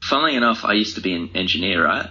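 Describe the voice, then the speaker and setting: A young man gives casual interview answers, thinking aloud as he goes. His voice is low and raspy with a very bright, forceful edge. It is conversational throughout and informal in wording. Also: animated, fast. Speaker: interview guest, author and speaker. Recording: dull, lo-fi audio.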